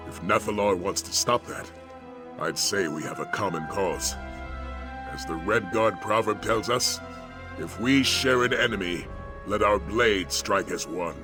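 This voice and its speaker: An actor playing a steadfast, pragmatic dragon hunter in a video game, with a formal, earnest delivery. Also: male voice, sits low, a little slow, music in the background. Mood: resolute. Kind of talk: dramatic video game dialogue.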